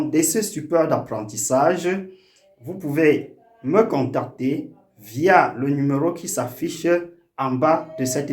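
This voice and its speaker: A man's voice: a little high, darkish tone, roomy sound. A man who hosts a video channel and makes a formal, earnest appeal to his community, with frequent hesitations. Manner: formal, oratorical, many hesitations. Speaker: language activist and YouTube channel host.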